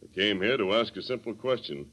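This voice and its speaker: A man playing a calm, commanding masked western hero in a 1930s radio drama, measured and authoritative. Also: lowish voice. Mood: cool, firm. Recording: old 1930s radio recording.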